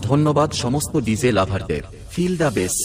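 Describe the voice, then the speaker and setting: A man playing a showy DJ making drawn-out sound-system announcements at a village contest. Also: medium pitch, big pitch swings, lightly acted. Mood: showy, hyped. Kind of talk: DJ speaker-check announcement. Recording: loud music over the announcer.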